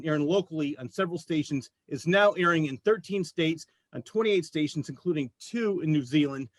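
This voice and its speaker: A man's voice: a little high, no room sound. A man hosting a casual interview show, welcoming guests and asking questions. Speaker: host of a local artist interview show.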